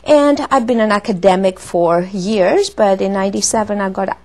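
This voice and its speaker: A woman with a Greek accent, giving explanatory answers as a guest lecturer, often halting and filling with ums. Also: mid-range voice, darkish tone. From Greece. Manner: hesitant, halting phrasing with many ums.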